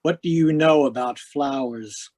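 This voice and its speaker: A man playing a hard-boiled, wisecracking private investigator in a 1940s-style radio noir drama, deadpan, clipped and sardonic. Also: mid-range voice, a little slow, no room sound, lightly acted. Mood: urgent, impatient. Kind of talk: 1940s radio noir acting with first-person narration. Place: live remote video-call performance.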